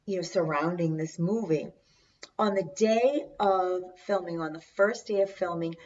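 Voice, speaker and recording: A woman with a raspy, congested voice hosting a video, chatting casually to viewers with conversational, emphatic asides. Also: on the low side. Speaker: host of a video channel. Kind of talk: casual chat to viewers, recounting film trivia. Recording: distant mic, dull lo-fi sound.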